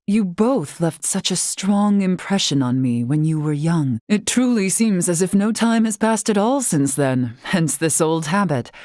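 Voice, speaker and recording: धीमे सुर वाली गंभीर महिला मुखिया. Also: female voice, on the low side, animated, a little mellow, no echo, clean studio sound. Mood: composed, wry. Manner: formal, archaic, measured phrasing. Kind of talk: video game character acting.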